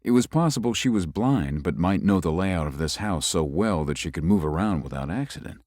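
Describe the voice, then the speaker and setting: An actor playing a young lawyer who narrates a ghost story in a measured way. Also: male voice, low-pitched, lively intonation, a little mellow, no room sound, clean studio sound. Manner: measured, tells the story with rising unease. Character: young male lawyer recuperating in the country. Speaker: narrator and lead.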